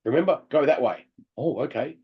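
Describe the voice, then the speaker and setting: A man coaching amateur hockey players over a call, talking through game footage in an animated way and laughing often. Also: mid-range voice, a little fast, breathy edge, lo-fi sound. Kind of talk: video review of game footage. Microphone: video call.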